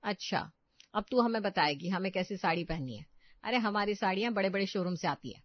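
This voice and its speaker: A woman's voice: mid-range voice, expressive, a little fast, no echo, lo-fi sound, natural voice. A woman playing a bossy, haughty housewife in a story, scolding and plain-spoken. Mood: huffy. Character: bossy middle-class housewife, skeptical employer of a maid.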